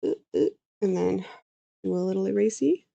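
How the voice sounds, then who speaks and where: A woman's voice: mid-range voice, moderate pace, creaky, warm tone, dry recording, muffled recording. A Canadian woman chatting casually while she draws on a livestream, thinking aloud and trailing off mid-sentence. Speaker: VTuber artist streaming her drawing.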